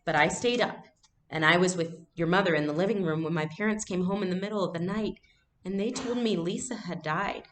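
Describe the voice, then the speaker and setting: A woman's voice: fairly low pitch, brisk, slight background noise, close to an everyday voice. A woman voicing a reassuring young girl, matter-of-fact and confiding, in a storybook read-aloud. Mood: somber, remembering.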